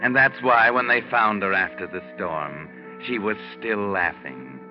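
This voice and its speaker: An actor playing a sinister storyteller who grimly relishes doom, narrating a 1940s radio drama in an ominous, measured way. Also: male voice, lowish voice, loud music behind the voice, close to an everyday voice. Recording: dull 1940s radio recording.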